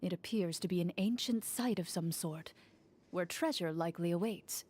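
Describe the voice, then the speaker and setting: An actress voicing a composed, serious female duelist in a video game, speaking in a calm, measured way. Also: lowish voice, breathy edge. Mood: narrating. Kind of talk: game dialogue and storybook narration.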